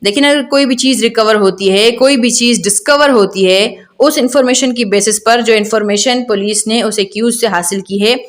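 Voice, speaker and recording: A woman's voice: fairly high pitch, normal speed, hardly any pauses. A woman lecturing on law in an explanatory, didactic way, repeating and rephrasing points with rhetorical questions. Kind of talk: explanatory law lecture mixing Hindi-Urdu and English.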